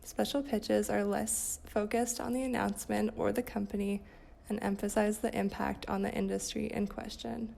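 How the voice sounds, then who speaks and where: Very creaky, mellow woman explaining. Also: mid-range voice, little pitch movement, normal speed. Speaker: PR account manager. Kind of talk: scripted explanatory podcast answer.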